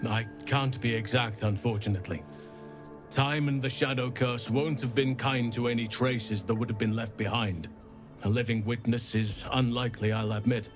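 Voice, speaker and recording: An actor playing a solemn druid devoted to nature in a video game, speaking in a measured, earnest way. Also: male voice, mid-range voice, steady tone, music in the background, close to an everyday voice. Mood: measured, reassuring. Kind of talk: video game character dialogue.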